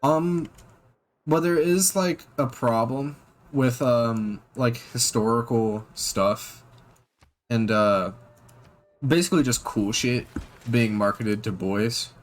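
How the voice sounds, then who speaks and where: A man giving casual reaction commentary, halting with ums and restarts, blunt and profane. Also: medium pitch. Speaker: reaction channel host.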